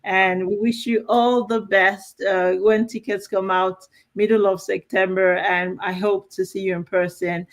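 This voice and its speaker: A woman's voice: mid-range voice, level tone, a little slow, hardly any pauses, low-quality recording. A woman with a Nigerian accent hosting a live talk show and interviewing guests in a casual, chatty way with fillers and restarts.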